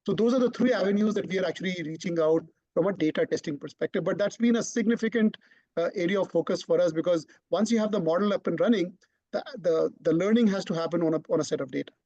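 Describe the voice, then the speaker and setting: Man, gravelly voice, highish pitch, business explainer, video call, dry lo-fi sound. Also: fast talker, warm tone, no echo. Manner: repeats words while thinking, confident explaining. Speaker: startup CEO presenting. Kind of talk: online business Q&A answer. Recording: dull lo-fi online call audio.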